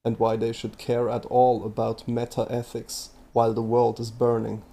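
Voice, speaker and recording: Swiss-accented man presenting, mellow voice. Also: mid-range voice, completely flat delivery, moderate pace, gravelly. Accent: Swiss German. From Switzerland. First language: Swiss German. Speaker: video presenter. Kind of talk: scripted presentation.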